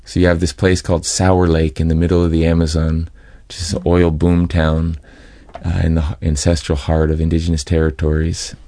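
A middle-aged American man from California giving a reflective, halting personal account as an interview guest. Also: a bass voice, monotone, mellow, no echo. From California, United States.

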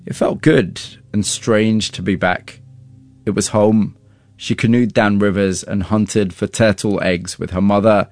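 Low-voiced male interviewer and narrator. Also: fairly even intonation, measured pace, a little mellow, faint music behind. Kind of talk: scripted storytelling narration and interview questions.

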